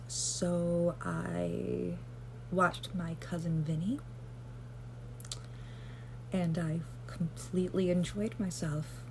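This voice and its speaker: A woman vlogger rambling gently through casual self-care advice, with lots of fillers and self-deprecating asides. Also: fairly low pitch, slight background noise, low-quality recording.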